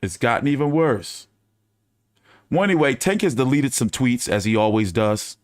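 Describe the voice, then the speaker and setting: A man's voice: medium pitch, very animated, normal speed, dry recording, clean studio sound. A man hosting a boxing news channel in casual fan chat, talking loosely with cursing and repeating his phrases.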